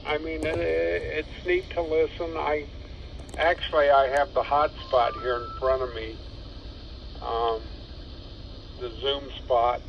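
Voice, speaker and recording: A man chatting casually over ham radio in a halting, rambling, matter-of-fact way. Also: on the high side, flat delivery, creaky. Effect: two-way radio transmission. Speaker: amateur radio operator. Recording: dull two-way radio signal, faint noise.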